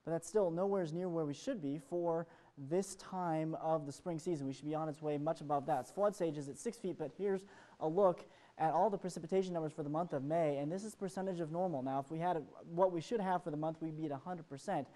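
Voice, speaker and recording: A man's voice: on the high side, fairly quick, dark tone. An American man presenting an ad-libbed television weather forecast in an upbeat, chatty way.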